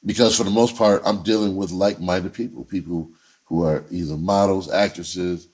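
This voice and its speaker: Middle-aged male storyteller, low-pitched and raspy, loose slangy delivery, off-the-cuff and meandering. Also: steady tone, moderate pace, darkish tone. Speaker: entertainer and filmmaker telling his own story. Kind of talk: casual storytelling.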